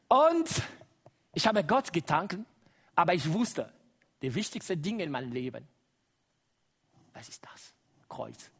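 High, lively Congolese preacher, man. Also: takes it slowly, pauses often, slightly rough. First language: Lingala. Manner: lively, exclaims and laughs often, asks the congregation to repeat. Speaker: guest preacher. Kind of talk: sermon.

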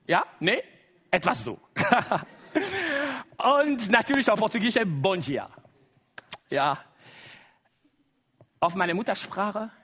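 Brief: Congolese-accented male preacher, middle-aged, high voice, very animated sermon delivery. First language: Lingala. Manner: lively, exclaims and laughs often, asks the congregation to repeat. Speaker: guest preacher.

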